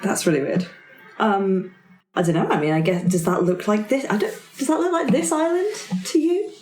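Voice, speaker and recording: A woman's voice: mid-range voice, expressive, normal speed, a little mellow. A woman playing a tabletop roleplaying game, chatting casually and thinking aloud as she improvises. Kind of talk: casual improvised in-character chat. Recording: roomy, echoey tabletop recording.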